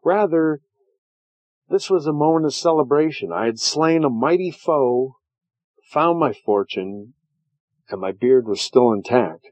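A man narrating a fantasy story as a stout, proud dwarf adventurer, in a measured, dramatic storytelling manner. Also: medium pitch, expressive, a little mellow, dry recording, dull audio. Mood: proud, satisfied. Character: stout, hardy, proud male dwarf adventurer telling his tale. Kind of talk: scripted first-person fantasy story narration.

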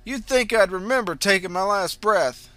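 Man playing a panicked greedy landlord ghost, high and loud, bright edge. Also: moderate pace, dry recording, faint music behind. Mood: frantic. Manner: loud, frantic, questioning. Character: greedy middle-aged male landlord ghost, bewildered and frantic.

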